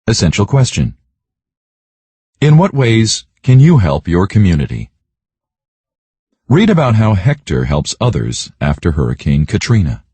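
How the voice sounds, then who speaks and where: A man's voice: sits low, huge pitch swings, measured pace, long pauses, warm tone, breathy edge, dry recording. A man reading a children's story aloud as a scripted narration. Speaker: audiobook narrator.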